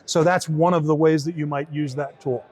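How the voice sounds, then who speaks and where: A man with a somewhat high voice walks through a software tool in an informal demo. He explains it conversationally, often repeating and rewording his points as he goes. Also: fairly even intonation, moderate pace. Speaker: software team member demonstrating a beta tool. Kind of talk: informal product demo in an interview.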